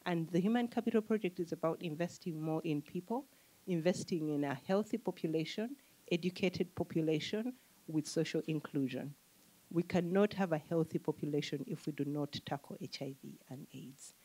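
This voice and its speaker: A woman in her thirties from Uganda, speaking as an economist on a panel into a handheld mic, explaining in a measured way and listing her points. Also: deep voice, dark tone, poor audio. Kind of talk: panel discussion answer. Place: conference room with audience.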